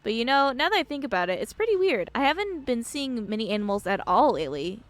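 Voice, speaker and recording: A woman's voice: fairly high pitch, big pitch swings, fast. A woman voicing a friendly younger miner in a game, easygoing and candid. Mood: candid, puzzled.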